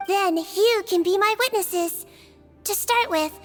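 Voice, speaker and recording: A small, childlike head nurse in an anime game. Her voice is very high, sweet and gentle. She explains things politely and methodically over quiet music. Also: slow. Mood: calm, clinical.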